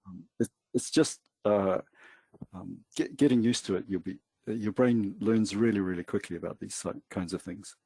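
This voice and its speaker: A man with a New Zealand accent co-hosting a trading webinar in a relaxed, hesitant way with many fillers. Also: on the low side, normal speed, slightly rough, no room sound. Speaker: webinar co-host. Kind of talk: casual webinar talk.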